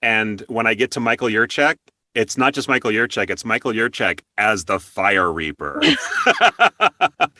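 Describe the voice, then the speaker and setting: A man's voice: fairly low pitch, big pitch swings, unhurried, bright, forceful tone, dry recording, clean studio sound. A man hosting an interview in a conversational style, explaining at length as he works toward his questions. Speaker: interview show host.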